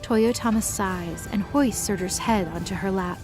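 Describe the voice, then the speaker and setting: A woman's voice: medium pitch, normal speed, music in the background. A woman giving dramatic scripted narration for a mythology audio story.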